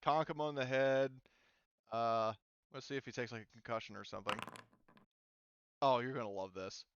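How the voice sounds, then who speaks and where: A man's voice: medium pitch, steady tone, normal speed, highs cut off, background noise. A man acting as game master, casually narrating a tabletop game in informal, profane language.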